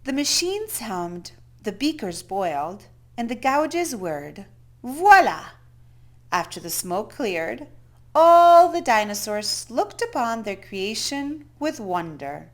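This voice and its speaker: A woman reading a children's picture book aloud as storyteller, theatrically, with drawn-out emphasis on key words. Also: fairly high pitch, big pitch swings.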